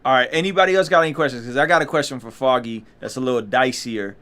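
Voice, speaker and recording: A man's voice: mid-range voice, fairly bright tone. Playful male host of a video call. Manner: playful, teasing, quick to hype the guest. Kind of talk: casual live-stream chat.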